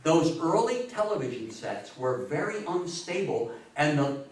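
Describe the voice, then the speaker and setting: A man's voice: a little high, big pitch swings, a little slow, darkish tone. A man in his seventies giving an informal talk to a room, telling anecdotes animatedly and repeating phrases for emphasis. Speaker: speaker giving a history talk. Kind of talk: informal lecture with anecdotes and audience questions. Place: meeting room before a seated audience. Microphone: far camera. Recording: roomy echo, distant camera microphone.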